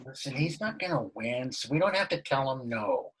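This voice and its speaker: A man playing an easygoing, boastful sitcom dad with a husky voice in a comedic table read. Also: mid-range voice, animated, moderate pace, fairly bright tone, slight background noise, close to an everyday voice. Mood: easygoing, proud. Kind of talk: comedic table read over video call.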